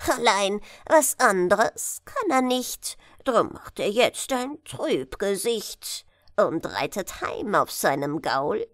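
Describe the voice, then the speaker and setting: A woman's voice: medium pitch, no room sound, clean studio sound. A woman voicing a flock of cheeky sparrows, chanting mocking rhymes in a sing-song way.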